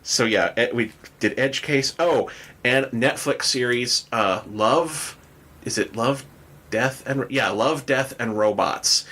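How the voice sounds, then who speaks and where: A man's voice: mid-range voice, slow, sharp tone. A man chatting casually as a podcast host, with a joking, self-deprecating manner.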